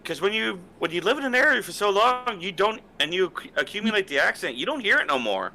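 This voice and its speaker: A man chatting casually on a podcast in an animated, rambling way. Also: high voice, fast talker, rough recording. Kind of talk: casual chat.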